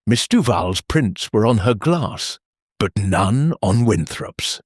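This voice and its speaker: A man's voice: lowish voice, animated, takes it slowly, husky, no room sound, clean studio sound, exaggerated voice. A man reading wry police inspector.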